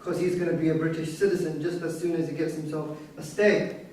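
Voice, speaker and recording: An actor playing a young, street-hardened migrant prisoner in a staged reading, plain-spoken, with non-standard grammar and a defensive, pragmatic air. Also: male voice, on the high side, level tone, fairly quick, darkish tone, close to an everyday voice. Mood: matter-of-fact, self-justifying. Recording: distant mic in echoey room, noisy.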